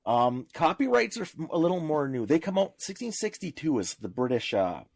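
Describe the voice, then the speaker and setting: A man's voice: fairly high pitch, big pitch swings, brisk, vocal fry, darkish tone, no room sound. A man speaking as a podcast panelist in informal discussion, explaining in a didactic way with examples.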